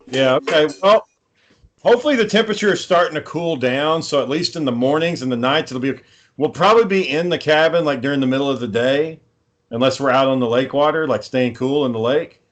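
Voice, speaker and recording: A man's voice: medium pitch, fairly quick. A male podcast co-host chats casually and tells everyday stories. He is blunt and swears freely as he goes. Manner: profane, blunt. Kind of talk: casual chat, telling stories.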